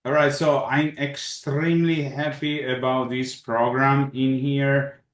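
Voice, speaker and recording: A man's voice: mid-range voice, flat delivery, slow, roomy sound. A man, a native Italian speaker, who chairs a caving club, talks eagerly on a video call, restarting his sentences as he goes. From Italy. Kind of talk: video call question and answer.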